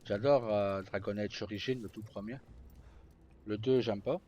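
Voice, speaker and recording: Male streamer, lowish voice, casual gaming commentary, faint background noise. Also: steady tone, moderate pace, darkish tone, dull audio. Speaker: live streamer playing a video game.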